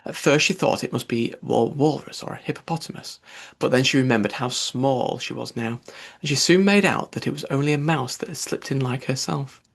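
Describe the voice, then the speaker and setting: A man's voice: mid-range voice, a little fast, vocal fry. A man reading a children's classic aloud as scripted audiobook narration.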